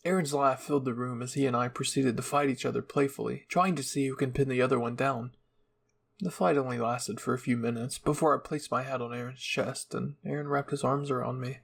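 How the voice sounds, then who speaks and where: Man, story narrator, ASMR roleplay reading, mid-pitched voice. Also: fairly even intonation, fairly quick, vocal fry. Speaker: fan fiction narrator.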